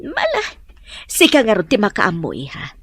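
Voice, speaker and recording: A woman's voice: mid-range voice, wildly swooping pitch, normal speed, husky, no room sound, exaggerated voice. A woman playing a caring, nudging middle-aged mother in an Ilocano radio-style drama, with a coaxing manner and an Ilocano Filipino accent.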